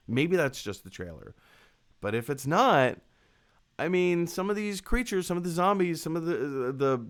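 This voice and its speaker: A man with a mid-pitched voice that swings widely up and down as he talks. He sounds like a show host chatting casually with viewers, offering opinions conversationally, repeating points for emphasis and correcting himself along the way. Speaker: host of a live movie discussion show. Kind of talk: casual opinionated movie commentary to live viewers.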